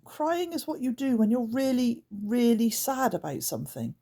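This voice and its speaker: A young girl stands her ground against creatures, as a woman reading a storybook puts her on. Her voice rises well above the narrator's, husky and bright with lively, swooping inflection. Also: on the high side, normal speed, pitched above the performer's own voice, heavily acted. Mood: earnest. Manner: sobbing then stubborn. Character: upset, determined young girl.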